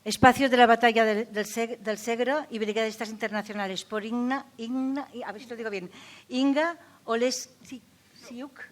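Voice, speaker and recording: A woman moderating a panel, brisk and apologetic as she keeps the time. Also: on the high side, steady tone.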